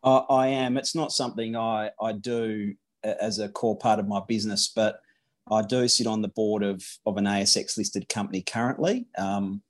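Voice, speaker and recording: A man's voice: on the low side, fairly even intonation. A man with an Australian accent, a corporate lawyer from Western Australia, answering interview questions with many restarts and fillers. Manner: halting, frequent restarts and fillers. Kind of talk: podcast interview.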